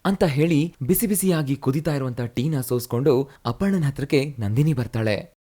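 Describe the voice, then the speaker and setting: A man reads a scripted children's story with a steady, even delivery. His voice is dark and mellow, at a middle pitch. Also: normal speed. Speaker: storyteller narrator.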